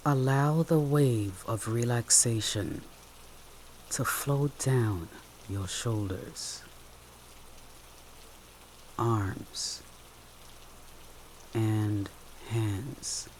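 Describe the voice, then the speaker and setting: A soothing meditation guide with a mid-pitched voice speaks extremely slowly. Short, gentle instructions are separated by long pauses. Soft rain falls steadily behind the voice. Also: slight background noise.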